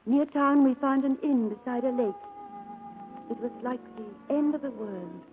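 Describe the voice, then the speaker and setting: A woman's voice: high voice, measured pace, phone-quality sound. An actress playing a devoted, spirited young woman in a 1940s radio drama, speaking in an earnest, pleading and effusive way. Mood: wistful narration. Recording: old radio broadcast with music behind.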